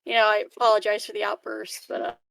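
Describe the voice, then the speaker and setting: A woman acts out a female colony worker in a sci-fi tabletop game, with a creaky voice and a close, dry sound. She is candid and polite, choosing words hesitantly with some unease. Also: mid-range voice, monotone, a little fast, a bit bright, no echo, poor audio. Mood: apologetic, candid. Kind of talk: improvised tabletop roleplay dialogue.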